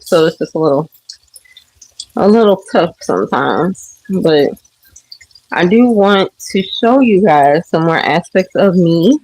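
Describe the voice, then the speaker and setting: A woman's voice: fairly low pitch, a little mellow, poor audio. Stuffy woman chatting while doing dishes. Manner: rambling, hesitant, many ums and restarts. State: stuffy, sniffling. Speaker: livestream host. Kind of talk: casual livestream chat. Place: home kitchen. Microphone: phone or webcam while washing dishes.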